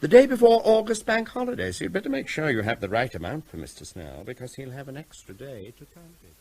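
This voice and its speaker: An actor playing a mock-polite, menacing debt collector in a radio drama, sardonic in manner. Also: male voice, mid-range voice, very animated, fast, a little mellow. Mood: sardonic menace. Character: smooth-talking, menacing male debt collector for a creditor.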